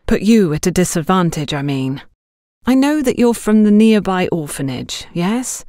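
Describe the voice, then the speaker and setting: A bold young shop girl, put on by a woman narrator, pitched high and very animated. She is blunt and challenging, in audiobook style. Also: normal speed, no echo, clean studio sound. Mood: earnest. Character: bold, outspoken young salesgirl, loyal and challenging.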